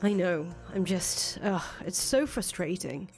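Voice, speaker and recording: Woman playing a low-pitched, cynical rebel leader. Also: animated, a little slow, a little mellow. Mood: bitter, frustrated. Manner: casual, profane, ruminating aloud. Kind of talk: acted reading of visual novel dialogue. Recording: music under the voice.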